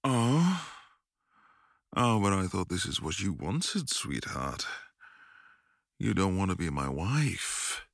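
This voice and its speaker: A deep-voiced man with a husky, gravelly edge speaks slowly and deliberately, his pitch swooping widely between low murmurs and sharper rises. He plays a dominant, commanding part in an intimate audio roleplay and often sinks to a whisper. The recording is close and dry, with no room sound. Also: sing-song, fairly bright tone, no echo.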